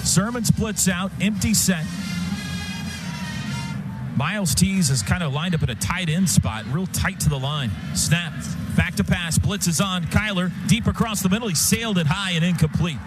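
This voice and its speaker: A man's voice: high voice, normal speed, loud music behind the voice. An American man doing live radio play-by-play of a football game in short, clipped, descriptive phrases. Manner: clipped, descriptive call of the action. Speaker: play-by-play announcer. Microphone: broadcast booth microphone. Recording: loud stadium sound behind radio broadcast.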